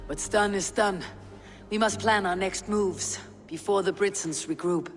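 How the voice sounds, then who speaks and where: Higher-voiced, resolute Viking leader. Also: male voice, a little slow, long reverb tail, faint music behind. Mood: steady resolve. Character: resolute, level-headed Viking warrior leader. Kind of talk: dramatic video game acting.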